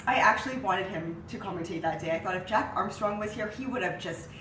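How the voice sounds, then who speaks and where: A woman's voice: medium pitch, fairly quick. A woman in her thirties with a cold and a nasal voice, chatting animatedly as co-host on a living-room couch. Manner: chatty, animated when excited. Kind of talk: casual sports chat. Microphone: far camera mic. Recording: roomy, distant, hissy.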